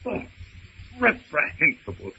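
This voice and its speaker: An actor playing a pompous, pedantic, easily affronted older professor in an old-time radio drama, speaking in formal, long-winded phrases. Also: male voice, on the high side, huge pitch swings, measured pace, vocal fry, bright, forceful tone, exaggerated voice. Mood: pompous indignation. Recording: muffled, noisy old radio recording.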